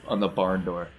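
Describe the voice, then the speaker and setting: Male game master, lowish pitch, conversational narration, tabletop roleplaying session.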